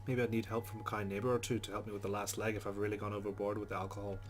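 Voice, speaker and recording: A man reads as a rueful young woman narrating her private thoughts in a visual novel. The voice is low and even, with a put-on Irish accent, over soft music. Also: racing, close to an everyday voice. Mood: wry.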